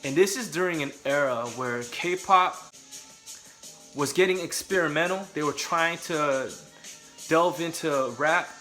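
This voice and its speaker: A man's voice: a little high, unhurried, pushed and bright, faint music behind. A young adult man from California, talking casually and candidly to camera with joking asides. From Southern California. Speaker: vlogger.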